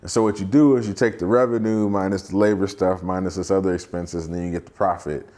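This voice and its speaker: A man voicing a smooth, evasive business seller with a casual, reassuring manner as he retells a story. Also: deep voice, fairly even intonation, fast talker, dark tone, lightly acted. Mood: breezy, reassuring.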